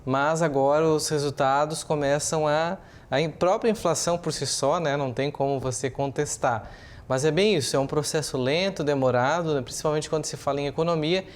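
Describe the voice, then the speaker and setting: A man's voice: on the high side, fairly quick. Brazilian male news commentator, opinionated and explanatory. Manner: opinionated, explanatory, uses rhetorical questions. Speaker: journalist, political commentator. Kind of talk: live news commentary, semi-improvised.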